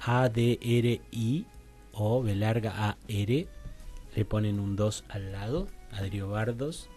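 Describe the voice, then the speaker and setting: A man's voice: fairly low pitch, takes it slowly, warm tone, faint music behind. A man with an Argentine Rioplatense accent talking casually as a guest in a radio interview.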